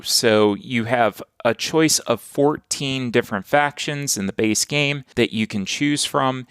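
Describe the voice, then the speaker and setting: A man with a smooth, clear voice at a middle pitch talks through a board game review on his own podcast. His delivery is plain and conversational, explaining rules and impressions at an easy, steady clip. The recording is close and dry, with no room sound. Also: no room sound. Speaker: podcast host.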